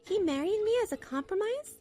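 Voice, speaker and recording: A woman's voice: very high voice, expressive, a little fast, warm tone, faint music behind. A woman narrating a manga dub as the wronged wife, in a chatty, dramatic inner monologue.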